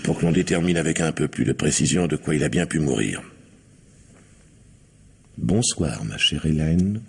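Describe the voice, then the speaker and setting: Suave domineering villain, radio mystery, deep voice, gravelly creak, mocking courtesy. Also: male voice, lively intonation, moderate pace, slight background noise, heavily acted. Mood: silky threat. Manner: mocking mock-courteous, imperious when irritated. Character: sinister, suave, domineering blackmailing factory owner. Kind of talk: French radio mystery drama acting.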